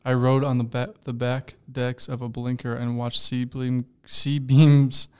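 A man's voice: medium pitch, level tone, darkish tone, smooth. Teasing young man, podcast co-host. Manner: teasing, quick, playful. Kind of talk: casual chat.

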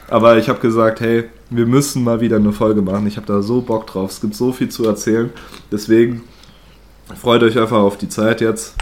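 A man's voice: on the low side, level tone, moderate pace, slight background noise. A man with a cold who hosts the podcast, chatting casually with many fillers and bursts of laughter. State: has a cold, slightly congested. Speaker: podcast host. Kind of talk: casual chat. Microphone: own home microphone setup.

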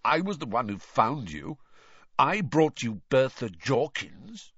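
A man's voice: fairly high pitch, sing-song, takes it slowly, gravelly, sharp tone, husky, no room sound, lo-fi sound, voice pushed up, heavily acted. A man voicing a sullen, cowering male servant who stammers and talks sulkily and fearfully. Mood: sulky.

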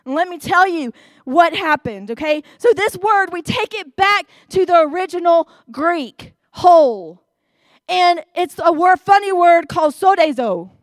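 Southern lady preaching, really slow and drawn out, emphatic, dry close sound. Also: extremely high pitch, expressive, no room sound. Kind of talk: sermon.

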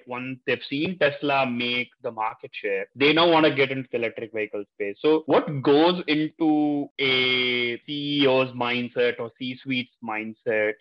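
Man asking long questions, dull audio. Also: mid-range voice. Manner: long, wandering questions built around examples. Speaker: podcast co-host. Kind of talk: interview questions.

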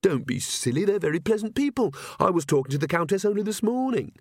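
A man's voice: sits high, sing-song, fast, dry recording, studio quality, pitched above the performer's own voice, full character voice. A man voicing an earnest, bossy young queen and new mother who is easily indignant, in a storybook reading.

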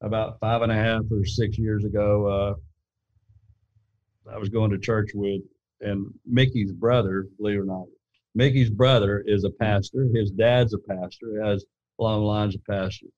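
Deep, flat-voiced man with hollow tone. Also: normal speed, no room sound, highs cut off. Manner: rambling, full of restarts and fillers. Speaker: gun company leader. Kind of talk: casual interview storytelling.

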